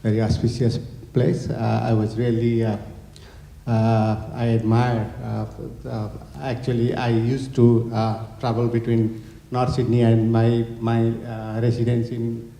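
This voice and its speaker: A middle-aged man from Nepal giving a hesitant speech to a gathering, with many pauses and fillers. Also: medium pitch, monotone, gravelly, dark tone. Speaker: honorary consul general and college owner. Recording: echoey hall.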